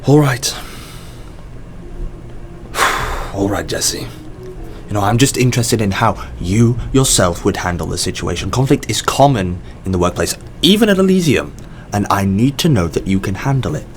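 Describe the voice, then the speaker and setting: An actor playing a mysterious boss in an audio drama, probing and composed, speaking with measured, deliberate pauses. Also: male voice, fairly low pitch, animated, faint music behind, close to an everyday voice.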